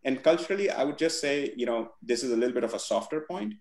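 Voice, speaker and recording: A man's voice: mid-range voice, animated, quick pace, darkish tone, reverberant. A man in his forties, from India, speaking as a guest on a video call, thoughtful and full of fillers and restarts. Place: home room with virtual backdrop.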